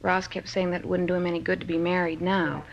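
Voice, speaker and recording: An actress playing a chatty, guileless young witness in a 1950s radio crime drama, casual and matter-of-fact. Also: female voice, on the low side, flat delivery, quick pace, creaky, lo-fi sound, slight background noise.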